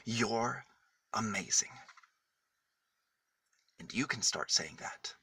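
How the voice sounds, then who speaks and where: A man reading a children's story aloud in a dramatic, deliberate manner with emphatic pauses. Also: lowish voice, bright, forceful tone, slightly rough.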